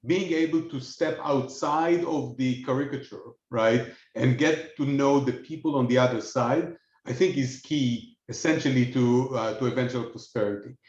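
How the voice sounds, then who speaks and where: A man's voice: mid-range voice, moderate pace, a little mellow. A man speaking earnestly on a panel over a video call, restarting words and checking in with "right?". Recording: echoey, dull video call audio.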